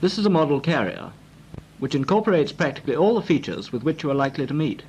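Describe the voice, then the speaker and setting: Male actor as British 1940s navy flying instructor: formal, precise, animated pitch, slight old-film noise. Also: fast, lightly acted. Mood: formal, instructive. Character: brisk, formal male naval flying instructor briefing trainees. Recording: noisy, crackly 1940s film soundtrack.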